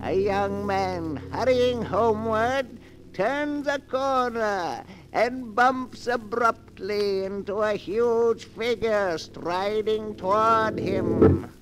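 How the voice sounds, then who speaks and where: An actor playing a sinister old storyteller in 1940s radio horror, with a drawn-out, theatrical, gloating delivery. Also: male voice, sits high. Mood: ominous narration. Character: sinister old keeper of a book of dark tales. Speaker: storyteller host. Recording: noisy 1940s radio recording.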